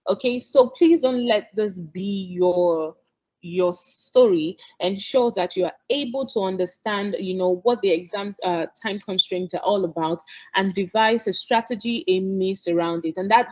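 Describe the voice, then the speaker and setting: A woman's voice: mid-range voice, normal speed. A Nigerian woman whose first language is Yoruba, giving an earnest exam-prep lesson as a tutor, coaxing listeners and checking in with "okay?". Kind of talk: instructional talk.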